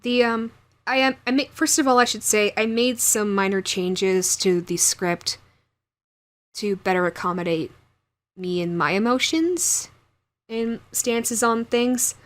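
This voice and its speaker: Higher-pitched woman addressing her viewers. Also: unhurried. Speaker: audio roleplay voice actor and channel host. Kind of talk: casual channel outro, speaking to viewers.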